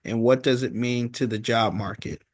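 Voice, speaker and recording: Male finance vlogger, mid-range voice, informal and halting recap style, circles back on points, close dry sound. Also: medium pitch, steady tone, dry recording. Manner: halting and repetitive, punctuating points with "okay?". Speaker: host of a stock market recap. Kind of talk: informal stock market commentary.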